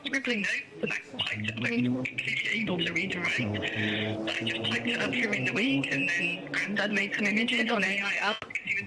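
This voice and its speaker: A woman's voice: mid-range voice, very noisy. A middle-aged woman being interviewed as a guest, speaking enthusiastically and emphatically, often repeating phrases for emphasis. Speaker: interview guest, children's storytelling project founder. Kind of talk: enthusiastic radio interview answers.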